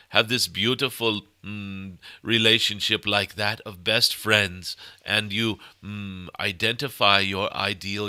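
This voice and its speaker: A man voicing a benevolent cosmic golden dragon spirit, delivering a spiritual message in formal, halting phrases punctuated by murmured hums. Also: lowish voice, pushed and bright, clear, resonant tone, no room sound, heavily acted. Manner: formal, otherworldly phrasing, tenderly addressing listeners. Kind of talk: channeled spiritual message, sermon-like.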